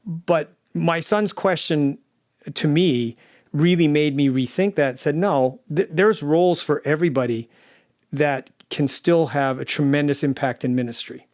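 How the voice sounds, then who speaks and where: A man in his fifties or older giving a reflective interview, halting and repeating words as he thinks. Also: on the high side, darkish tone, no echo, studio quality. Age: 50s or older. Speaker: technical producer and interview guest.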